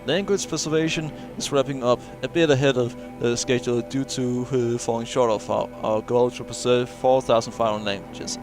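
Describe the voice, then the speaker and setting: A man's voice: medium pitch, steady tone, moderate pace, hardly any pauses. A man playing through a video game, reading in-game logs aloud and stumbling over words, with many "uh" fillers and small chuckles. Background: faint game music.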